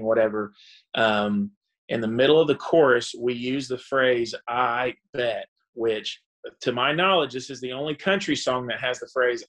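A country singer-songwriter in his thirties, born in Texas and raised in the Dominican Republic, talking in a relaxed interview with frequent pauses and "you know.". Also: medium pitch.

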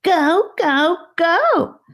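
A woman voicing an evil female snake from a fantasy audiobook, mocking and defiant, with high-pitched taunts. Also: big pitch swings, extremely slow, a bit bright, exaggerated voice. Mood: taunting.

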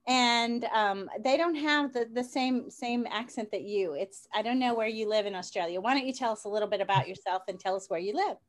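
A woman's voice: on the high side, fairly quick, few pauses. A woman from New Orleans hosting a business podcast, who talks in an enthusiastic, chatty way. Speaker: podcast host. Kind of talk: podcast interview.

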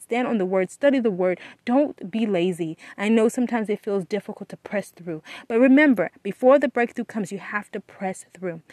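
A woman's voice: mid-range voice, darkish tone, no echo. Woman giving earnest devotional talk, dry sound. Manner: earnest, exhorting, repeats phrases for emphasis. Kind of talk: devotional encouragement talk with prayer.

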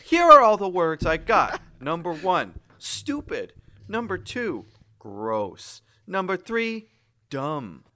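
A man's voice: sits high, very animated, slow pace, bright, forceful tone. A man co-hosting a comedy podcast, reading reviews aloud with mock seriousness.

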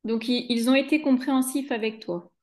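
A woman conducts an interview with a fairly high voice. Her questions come gently, with small hesitations. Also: fairly even intonation, normal speed.

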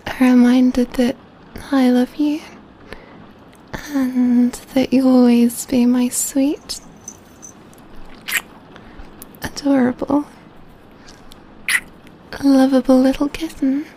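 Female performer as gentle, affectionate vampire lover for ASMR roleplay; mellow, hushed, high-pitched delivery, faint background noise. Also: little pitch movement, poor audio. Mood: tender, loving. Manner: tender, slow, hushed, softly giggling delivery. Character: gentle, doting female vampire pampering her adopted catgirl lover. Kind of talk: soft-spoken ASMR roleplay.

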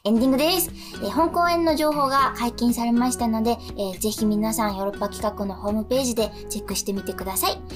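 A woman's voice: on the high side, rapid-fire, few pauses, over music. A woman hosting a radio show, mixing casual chat with announcements.

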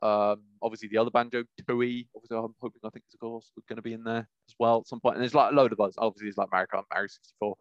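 A man's voice: on the low side, fairly even intonation, very fast, few pauses, no echo, muffled recording. A British man co-hosting a podcast in casual chat, rambling and often correcting himself as he goes. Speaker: podcast co-host.

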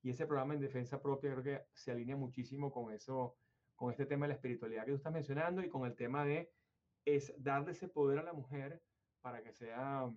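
A man from Venezuela hosting a conversational interview, musing at length and circling back with fillers and restarts. His voice sits at an ordinary pitch, and the recording sounds somewhat dull and lo-fi. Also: a little mellow. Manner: rambling, hesitant with many fillers and restarts. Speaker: interview host.

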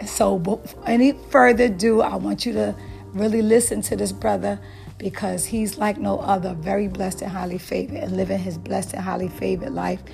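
A woman with a mid-range voice and a gravelly, fry-edged tone hosts a casual talk show. She talks in an upbeat, emphatic way and builds hype. Faint music plays underneath. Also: medium pitch, a little mellow. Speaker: podcast host and hairstylist. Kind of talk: casual interview chat.

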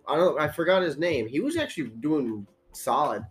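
A man's voice: a little high, animated, normal speed, slight background noise. A young man from Connecticut with a New England accent, chatting casually about football on a podcast. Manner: chatty sports talk, laughs easily. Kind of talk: casual chat.

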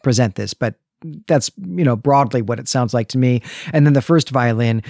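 A middle-aged man with a medium-pitched voice speaks as a podcast co-host. He analyses things in a conversational, reflective way, often checking that his listener agrees. The recording is close and dry. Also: normal speed, vocal fry, a little mellow, no echo.

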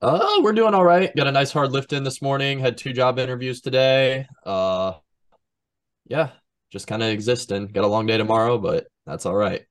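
A man's voice: medium pitch, expressive, normal speed. A young man co-hosting a sports podcast, giving casual commentary and chat among friends.

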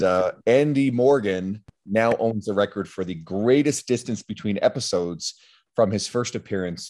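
A man hosting a podcast who introduces his guest in a relaxed, chatty way. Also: on the low side. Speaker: podcast host. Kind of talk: casual interview.

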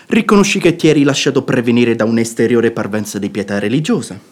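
An actor playing a sensible relative in a radio drama, lecturing emphatically and counselling moderation. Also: male voice, high-pitched. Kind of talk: theatrical radio drama acting.

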